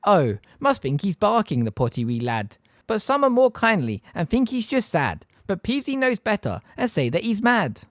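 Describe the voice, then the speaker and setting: A cackling little poltergeist, as a man reading aloud puts him on jeers in a high, bouncing sing-song. Each taunt swoops with wide, lively pitch, close and dry to the mic. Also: measured pace, no echo. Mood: mocking.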